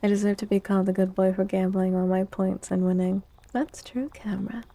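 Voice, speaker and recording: A soft-spoken woman live streaming ASMR chat on a close microphone, gently greeting viewers, often repeating phrases and slipping into breathy whispers. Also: mid-range voice, little pitch movement, a little fast.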